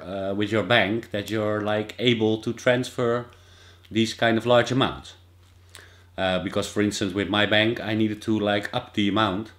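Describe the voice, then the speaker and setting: A man in his thirties with a Dutch accent, explaining steps in a casual vlog with many fillers and restarts, recorded indoors in a small office by a desk camera. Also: lowish voice. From Netherlands. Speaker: vlogger.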